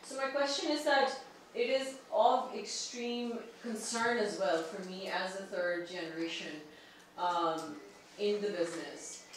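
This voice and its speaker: A woman's voice: mid-range voice, a little slow. A woman from Pakistan, earnestly and articulately putting a question and comment at a seminar. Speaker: audience member, barrister and entrepreneur. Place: large indoor meeting room. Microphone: far room camera. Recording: distant mic, very echoey room, faint noise.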